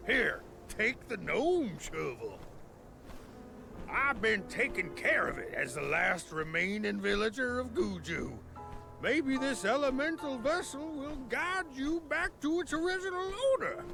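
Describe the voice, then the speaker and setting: High-voiced, creaky, folksy villager storyteller. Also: male voice, big pitch swings, a little slow, exaggerated voice. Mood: helpful, easygoing. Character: folksy talkative male villager storyteller, easygoing and peaceable. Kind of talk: video game cutscene acting. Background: background music.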